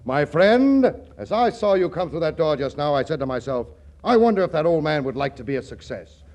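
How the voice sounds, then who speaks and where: An actor playing a smooth-talking, phony success-school boss in a 1940s radio comedy, pompous and glib like a salesman. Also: on the high side, big pitch swings, a little fast, darkish tone. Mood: oratorical.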